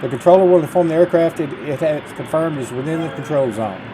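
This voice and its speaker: A man's voice: a little high, quick pace. Middle aged guy doing chill gameplay commentary, reads stuff off screen, pretty noisy background. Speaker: gaming video host. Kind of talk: casual gameplay commentary, reading tutorial text aloud. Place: indoors at a computer, webcam.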